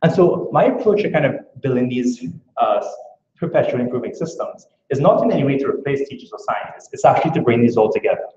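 A man with a Trinidadian accent gives an academic talk at a rapid clip, posing questions to his audience as he explains. His voice sits in a middle register. The room is echoey and the recording sounds somewhat muffled. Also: medium pitch, expressive, warm tone. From Trinidad and Tobago. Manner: brisk, earnest, explaining with rhetorical questions. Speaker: academic speaker. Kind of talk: conference talk. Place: lecture room.

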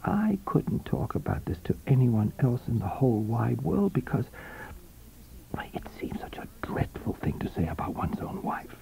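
A man's voice: mid-range voice, very animated, moderate pace, vocal fry, mellow, in a whisper, rough recording. A man playing a self-pitying, unfaithful husband in an audiobook story, hesitant and confiding with studied reasonableness. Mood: guilty confiding.

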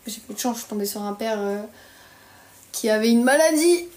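A woman's voice: fairly high pitch, animated, normal speed, darkish tone. A woman in her early twenties from France with a French accent, chatting casually on a livestream in a blunt, slangy, griping way. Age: early 20s. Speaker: live streamer. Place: small bedroom, indoors. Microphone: phone at arm's length. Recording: roomy echo on phone microphone.

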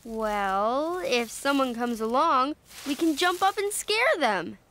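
High-voiced, childlike little bear cub. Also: female voice, animated, takes it slowly, fairly bright tone, a little hiss or hum, full character voice. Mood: playful, eager. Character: cheerful young bear cub, eager and playful. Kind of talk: children's cartoon voice acting. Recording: music behind the voice.

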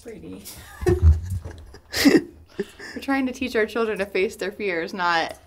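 A woman's voice: mid-range voice, slow pace. A woman in her thirties exploring a rocky cave with her family, chatting and marvelling at what she sees. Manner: chatty, marvelling, laughs easily. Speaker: vlogger and mother. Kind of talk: casual chat while exploring.

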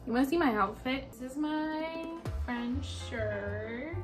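A young woman chatting casually in her home kitchen, telling dramatic stories in an animated way. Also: high voice, slow pace, music in the background. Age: young adult. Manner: animated, dramatic storyteller who breaks into song. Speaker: vlogger. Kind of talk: casual storytelling chat. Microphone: phone camera propped on counter.

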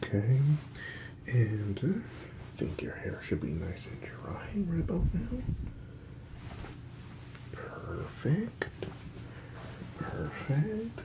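Soft-whispering ASMR man, slow and gentle. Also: medium pitch, wildly swooping pitch, low-quality recording. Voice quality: soft, breathy whisper and murmur. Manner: soothing, reassuring, gentle. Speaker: ASMR performer as hairdresser. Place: small indoor room set up as a salon.

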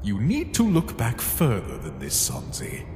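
An insistent, controlling phantom voiced by a man, slow-spoken and echoing. It coaxes and commands over music, in the dramatic style of a comic dub. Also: mid-range voice, huge pitch swings, a little mellow. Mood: controlling, calm. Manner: coaxing, commanding. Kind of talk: animated comic dub acting. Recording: echoey, with music behind.

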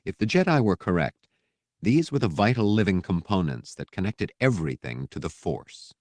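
Deep voiced guy reading a novel, dry close sound, measured and dramatic. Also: very animated, darkish tone, dry recording. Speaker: audiobook narrator. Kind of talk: scripted fiction narration.

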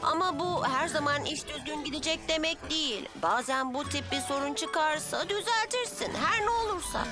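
Casting: little boy rescue bike, very high, upbeat and eager to help, cartoon, music underneath. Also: animated, moderate pace, hardly any pauses. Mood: reassuring. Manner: upbeat, calling out rescue commands. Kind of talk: animated children's cartoon dub. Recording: music behind the voice.

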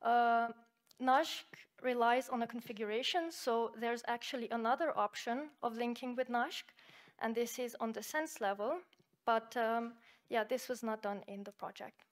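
Woman presenting, Slovenian native, measured. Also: on the high side. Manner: explanatory, measured, with frequent "uh" and "so" fillers. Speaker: conference presenter. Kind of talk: academic conference talk with live software demo.

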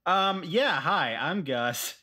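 Guy joking around on a podcast, takes his time, really lively pitch, bright voice. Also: slow, a little hiss or hum. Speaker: podcast player. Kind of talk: casual joking group chat.

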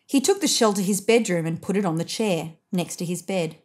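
A woman narrating a children's picture book expressively, with deliberate emphasis on key phrases. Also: on the low side, lively intonation, darkish tone, breathy edge.

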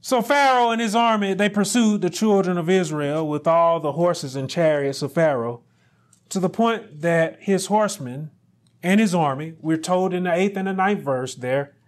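A middle-aged male pastor preaching a sermon, speaking deliberately and rising to loud, emphatic peaks. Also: high voice.

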